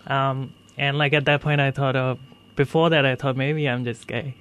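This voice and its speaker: A man's voice: mid-range voice, flat delivery, moderate pace, a bit bright, clear, resonant tone. A 24-year-old from India with a male-sounding voice, talking casually about personal experience in a hesitant way, peppered with filler phrases. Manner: hesitant, heavy use of "like" and "you know". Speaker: interview guest sharing life story.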